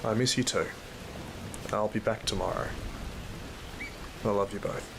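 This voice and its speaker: An actor playing a weary young field worker who narrates a bleak audio drama in a sombre, literary manner. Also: male voice, on the low side, steady tone, a little slow, creaky, noisy background. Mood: wistful. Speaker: narrator and lead character. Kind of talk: first-person audio drama narration and dialogue.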